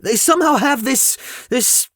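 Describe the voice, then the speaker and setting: Animated, anxious teenage boy played by a woman. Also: high-pitched, unhurried, no echo, clean studio sound, exaggerated voice. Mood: flustered. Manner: hesitant, exasperated. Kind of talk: audio drama acting.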